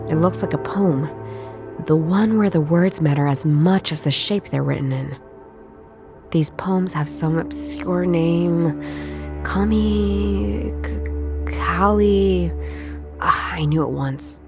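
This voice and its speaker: Casting: dry, musing female ex-detective for a noir game, deep voice, unhurried delivery. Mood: wry, curious. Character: sharp-witted wry former woman detective, investigating a mystery. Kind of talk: visual novel game narration, thinking aloud. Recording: voice under prominent background music. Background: music.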